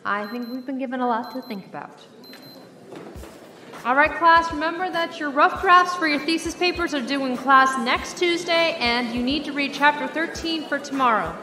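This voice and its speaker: A woman playing a friendly, slightly flustered young university teacher in an audio drama, expressive and informal. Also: high voice, moderate pace, slight background noise. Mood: polite, composed. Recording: echoey hall reverb, music behind.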